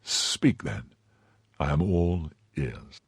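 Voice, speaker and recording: A man's voice: extremely low pitch, animated, extremely slow, husky, no room sound, clean studio sound, full character voice. Athos, a man voicing a world-weary drinking musketeer. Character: weary hard-drinking nobleman musketeer, aloof.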